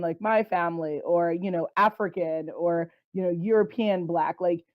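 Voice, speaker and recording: A woman hosting a podcast interview, asking questions in a measured way with frequent "you know" and affirming hums. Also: fairly low pitch, no room sound. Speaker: podcast host. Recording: dull, dry sound.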